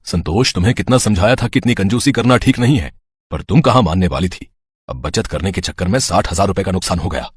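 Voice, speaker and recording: A man as a low, reasoning middle-aged husband. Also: expressive, quick pace, husky, no room sound, clean studio sound. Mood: reproachful. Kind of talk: Hindi cartoon moral-story acting.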